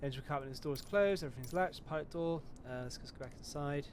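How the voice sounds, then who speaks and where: A man's voice: fairly high pitch, quick pace, background noise. A man hosting a flight simulator video, chatting casually and rambling through a pre-flight checklist, swearing now and then and poking fun at himself. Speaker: flight sim video host. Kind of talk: casual talk over a flight sim checklist.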